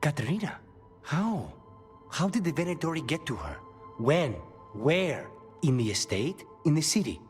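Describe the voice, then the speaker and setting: A man's voice: on the high side, very animated, normal speed, faint music behind. An actor playing a brooding, guarded male assassin with an intense streak in a video game. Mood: urgent, agitated.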